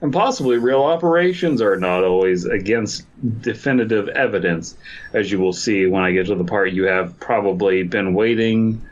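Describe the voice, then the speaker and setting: A man's voice: on the low side, moderate pace. A man co-hosting a podcast, commenting casually and reading a story aloud. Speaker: podcast host. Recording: slightly dull lo-fi mic.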